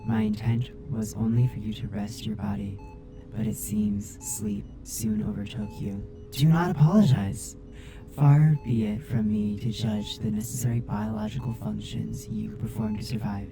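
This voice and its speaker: Caring male robot crewmate played by a man, formal and measured, for ASMR audio drama with music underneath. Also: mid-range voice, sing-song, gravelly. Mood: apologetic, reassuring. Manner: formal, precise, measured wording.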